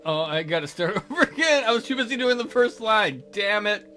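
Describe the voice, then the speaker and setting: A man's voice: high-pitched, animated, fairly quick, bright, forceful tone, soft background music. A man hosting a let's play, reading a visual novel aloud theatrically with goofy, crude joking commentary.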